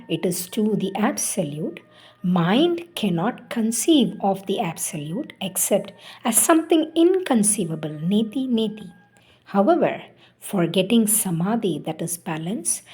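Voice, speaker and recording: Woman reading devotional text, expressive pitch. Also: measured pace. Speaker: reader of spiritual writings.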